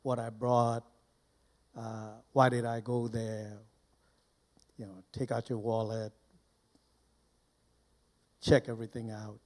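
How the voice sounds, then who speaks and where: Older male guest speaker from Burma, soft-edged warm timbre, deliberate and halting, informal testimony. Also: mid-range voice, flat delivery. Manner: halting, deliberate, with short broken phrases. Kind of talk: informal personal testimony to a live audience. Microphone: handheld microphone held close.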